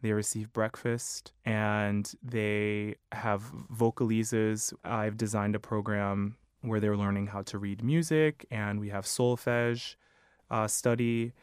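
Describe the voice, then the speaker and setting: A man in his thirties, a choral conductor with a high baritone voice, giving measured, thoughtful interview answers. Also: steady tone, clean tone, dry recording, studio quality. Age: 30s. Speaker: choral conductor and music director.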